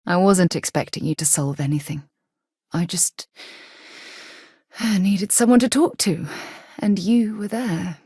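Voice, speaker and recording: A woman's voice: on the low side, measured pace, pauses often, dark tone, breathy edge, no echo, clean studio sound, exaggerated voice. A woman playing an earnest, principled explorer and companion in a space video game, articulate with a wry streak. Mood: concerned.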